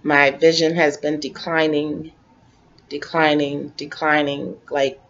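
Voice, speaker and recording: A woman in her late forties chatting casually to camera from her living room couch, relaxed and rambling, repeating herself as she talks. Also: deep voice, takes it slowly. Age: 40s. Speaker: vlogger. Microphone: webcam. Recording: dull lo-fi webcam audio.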